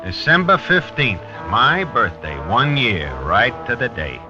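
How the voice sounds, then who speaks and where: An American actor playing a dogged, weary police lieutenant in a 1950s radio drama, with a clipped, hard-boiled delivery. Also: male voice, mid-range voice, huge pitch swings, unhurried, over music. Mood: matter-of-fact narrating. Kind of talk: 1950s radio drama acting and first-person narration. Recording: dull vintage 1950s radio recording.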